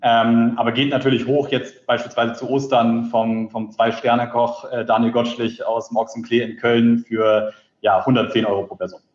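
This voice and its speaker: A man's voice: medium pitch, level tone, normal speed, a little mellow, reverberant, lo-fi sound. A man in his late twenties from Berlin, a startup co-founder, answering interview questions with many fillers. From Berlin, Germany. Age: late 20s. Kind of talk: casual interview.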